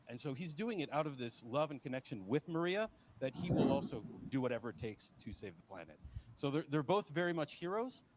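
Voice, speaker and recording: A man working as an interpreter, explaining things in connected, conversational phrases. His voice sits at a middle pitch, with faint ambient noise in the background. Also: low-quality recording.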